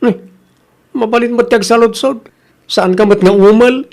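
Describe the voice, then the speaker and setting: A man's voice: extremely high pitch, moderate pace, darkish tone. A man acting in an Ilocano comedy radio drama as a nagging, reproachful relative who lectures on punctuality, with an Ilocano accent.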